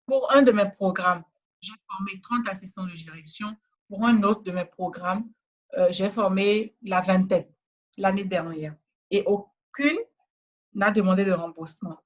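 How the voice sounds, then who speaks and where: A woman trainer giving a persuasive, repetitive sales pitch in a webinar, speaking straight to the listener, with a West African French accent. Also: dull audio. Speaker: trainer selling a course.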